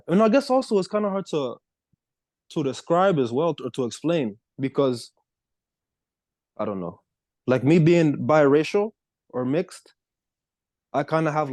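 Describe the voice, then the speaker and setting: A man's voice: fairly high pitch, expressive, unhurried, long pauses, darkish tone, no echo. A young American man chatting casually as a podcast co-host, rambling with lots of fillers. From United States. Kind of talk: casual chat.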